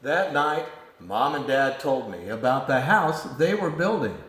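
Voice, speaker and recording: A man reading a children's picture book aloud in an animated storytelling style. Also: medium pitch, unhurried, roomy sound, rough recording. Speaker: storybook reader.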